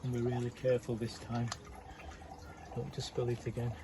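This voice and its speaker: A storyteller voicing an excitable, clumsy little tax collector man who is flustered, breathless and apologetic. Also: medium pitch, fairly quick, noisy background, lightly acted. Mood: nervous.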